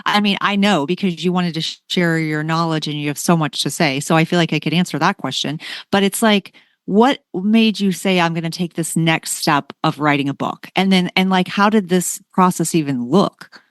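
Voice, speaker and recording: Lowish-voiced woman interviewer, dry sound. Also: moderate pace, few pauses, no room sound. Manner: encouraging, frequent affirmations. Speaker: interviewer, podcast host. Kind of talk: casual supportive interview chat.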